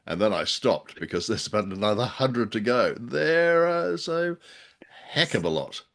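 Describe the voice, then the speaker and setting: A man's voice: mid-range voice, lively intonation, a little slow, vocal fry, a bit bright. An Australian man co-hosting a casual discussion of old radio drama, with a hesitant, wordy and playfully genteel way of talking. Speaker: co-host of a radio drama review show.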